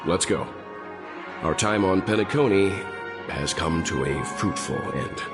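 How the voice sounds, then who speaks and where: Steady low-voiced space traveler for a game, terse, takes his time. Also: a little slow, over music. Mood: reserved, steady. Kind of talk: scripted game dialogue.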